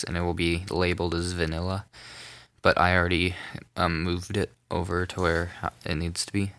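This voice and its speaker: A young man narrating a casual phone tutorial, rambling, with many ums and self-corrections, in a soft voice. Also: deep voice, dry recording. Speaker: tutorial maker. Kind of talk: casual screen-recorded tutorial.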